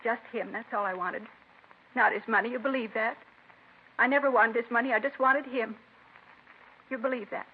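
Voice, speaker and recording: A woman's voice: a little high, fairly even intonation, normal speed, pauses often, breathy edge. Bitter, lovelorn woman, tinny radio. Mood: anguished, bitter. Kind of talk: 1950s radio crime drama acting. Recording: tinny, hissy vintage radio recording.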